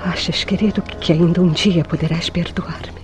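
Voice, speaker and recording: An actress playing a possessive, remorseful femme fatale in a melodramatic radio drama, speaking with ardent, formal diction. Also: female voice, on the low side, steady tone, normal speed, over music, close to an everyday voice. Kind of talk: old-fashioned melodramatic radio drama acting.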